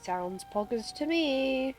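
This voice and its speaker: A woman's voice: sits high, lively intonation, takes it slowly, soft background music. A woman streaming a game, giving casual, playful, slangy commentary.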